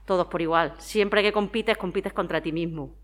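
A woman's voice: on the low side, moderate pace. A woman in her forties with an accent from Spain, talkatively answering interview questions in a conversational way, explaining with examples. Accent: Spanish (Spain). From Madrid, Spain. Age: 40s. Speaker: interviewee, former athlete and town sports councillor.

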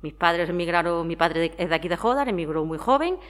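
Lower-voiced Spanish woman in her forties. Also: lively intonation, brisk, a bit bright. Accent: Spanish (Spain). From Madrid, Spain. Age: 40s. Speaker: interviewee, former athlete and town sports councillor. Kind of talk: conversational interview answers.

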